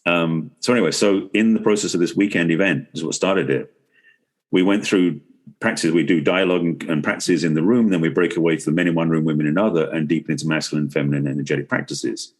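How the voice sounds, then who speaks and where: Guy on a podcast, really low husky voice, talks fast, earnest explainer type. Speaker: podcast guest, relationship expert and author. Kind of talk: conversational interview, explaining his work.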